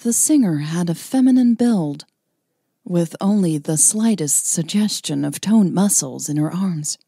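A woman's voice: fairly low pitch, expressive, unhurried, mellow, breathy edge, no room sound, clean studio sound. A woman hosting a podcast and narrating a romance story, sounding a little tired. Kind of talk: scripted romance narration and casual podcast outro.

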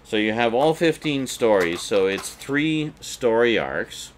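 A man's voice: mid-range voice, animated, takes it slowly. A middle-aged man, chatting informally and unscripted as he hosts a review vlog. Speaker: video host reviewing collectibles. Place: small indoor room with shelves. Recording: clearly noisy room recording.

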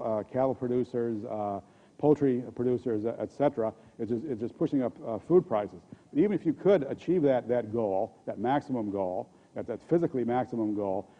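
An older man with an American accent gives a conference keynote at a podium microphone, speaking haltingly and often repeating words and restarting. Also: medium pitch, dark tone. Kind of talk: conference presentation. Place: conference hall stage at a podium. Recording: dull, muffled lo-fi audio.

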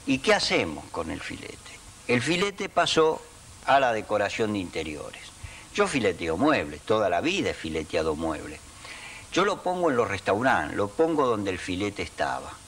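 Older Rioplatense man, lively storyteller. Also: on the low side, normal speed, distant mic, slight background noise. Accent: Rioplatense Spanish. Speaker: sign painter guest. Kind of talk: recounting memories in an interview. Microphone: handheld mic held by interviewer.

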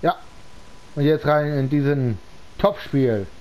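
A man with a slight cold narrates his own game match in a relaxed, halting way, leaving long pauses between short phrases. His voice sits a little high and his pace is very slow. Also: poor audio. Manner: slow, halting talk with many pauses and fillers. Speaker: gaming channel host. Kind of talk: casual gameplay commentary.

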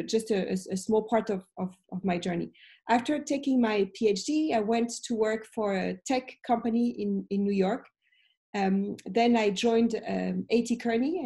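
A woman's voice: medium pitch, mellow. A woman in her late forties with a French accent, a professor giving conversational interview answers on a video call from home. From France. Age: late 40s. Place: indoor room at home. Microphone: video call, computer microphone.

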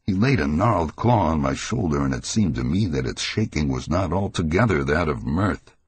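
A man reading a horror story as an audiobook narrator, in a measured, formal literary manner. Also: very deep voice, expressive, breathy edge.

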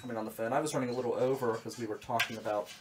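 A man's voice: medium pitch, steady tone, very fast, noisy background. A young man hosting a casual radio show in a small studio, talking loosely and repeating his words, his voice slightly strained. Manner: rambling, repeats words when starting sentences. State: slightly strained voice after nearly losing it. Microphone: studio mic on boom arm, with headphones.

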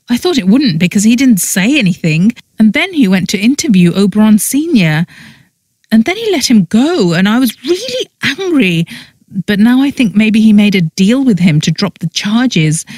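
An anxious young woman acted out by a female narrator from an audiobook, protective and apologetic. She pleads hesitantly, lifted above the reader's usual pitch, in a close, dry recording. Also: a little mellow, husky, dry recording.

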